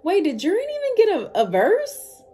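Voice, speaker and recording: A woman's voice: very high voice, huge pitch swings, normal speed, mellow. A woman giving a casual, slangy music reaction, repeating phrases for emphasis. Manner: slangy, informal, emphatic repetition. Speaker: reaction video host.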